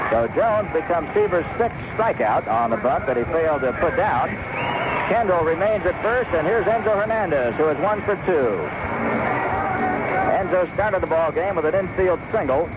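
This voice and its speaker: A man's voice: on the high side, phone-quality sound, heavy background noise. A man calling live baseball play-by-play on radio in clipped descriptive phrases, on a vintage 1970s broadcast. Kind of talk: live radio sports commentary. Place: stadium broadcast booth.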